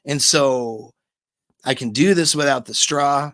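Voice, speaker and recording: A man giving relaxed, chatty dating-coach advice on a livestream, speaking close to a microphone. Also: slow pace, no room sound. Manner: demonstrates voice pitch changes, relaxed and chatty. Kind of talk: casual livestream coaching talk.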